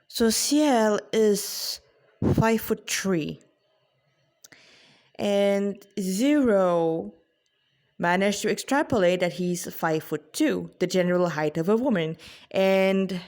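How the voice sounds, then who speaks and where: A woman, an author reading her own story in a dramatic, deliberate way with informal asides. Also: medium pitch, darkish tone.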